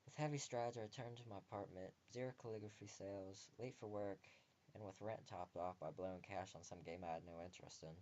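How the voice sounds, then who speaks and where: A man's voice: low voice, fairly even intonation, brisk, a little mellow, lo-fi sound. A young man reading a visual novel aloud as a let's player, expressively, with casual wry asides. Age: young adult.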